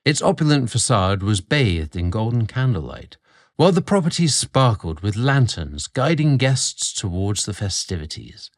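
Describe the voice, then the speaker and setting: Low-voiced man narrating romantic fiction. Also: big pitch swings, moderate pace. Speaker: audio story narrator.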